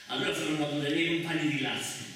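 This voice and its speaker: A man performing an animated, colloquial theatrical monologue as a storyteller on stage. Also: a little high, quick pace. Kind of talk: theatrical autobiographical monologue with acted voices and snatches of song. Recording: echoey hall, far from mic, music behind.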